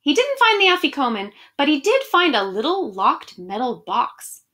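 A woman in her thirties with a slightly high voice speaks to children as in a storytime at home. She addresses her young listeners directly and reads with expression. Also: sing-song, moderate pace, bright, forceful tone. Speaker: education director reading picture books online to children. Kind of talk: children's storybook read-aloud and friendly chat to viewers. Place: small room at home, indoors.

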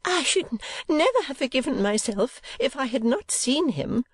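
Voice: high voice, very animated, normal speed, slightly rough, dry recording, pitched above the performer's own voice, heavily acted. A performer voicing a fond, fretful middle-aged mother from a Victorian novel, sentimental and plaintive.

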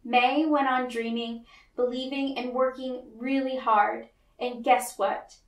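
A woman reading a picture book aloud to children, with deliberate, expressive storytelling narration. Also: on the high side. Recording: roomy, echoey, distant mic.

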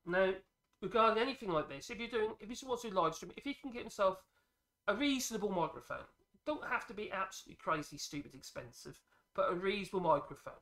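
A man, chatting casually on a live stream from his workshop, a bit husky today and prone to rambling and correcting himself. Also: animated, fast talker, fairly bright tone. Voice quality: husky at the moment, fairly high voice. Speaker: woodworking channel host. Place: cluttered home workshop, indoors. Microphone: cheap USB desk microphone. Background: air compressor running at times.

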